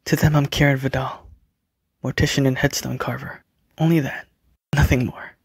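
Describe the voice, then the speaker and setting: A man plays an earnest young man in an audio drama, a mortician with a dark gift. His voice is husky and dry, and his manner is pleading and sincere. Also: mid-range voice, little pitch movement, normal speed, no room sound. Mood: reassuring.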